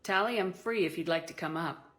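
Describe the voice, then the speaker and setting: An actress playing a steady, reassuring and decisive female military commander in video game dialogue. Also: on the low side, fairly quick, natural voice. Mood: calm, reassuring.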